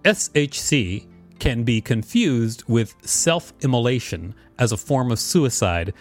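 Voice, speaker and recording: A man's voice: medium pitch, sing-song, slow, soft background music. A man co-hosting a podcast, reading an article aloud with casual banter. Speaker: podcast co-host.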